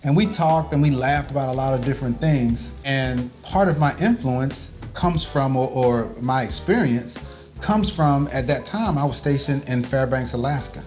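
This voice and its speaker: A man's voice: mid-range voice, normal speed, a little mellow, soft background music. Laid-back father teaching with a teasing edge. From Birmingham, Alabama. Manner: teasing, laughs often. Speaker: father and video host. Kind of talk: casual teaching chat.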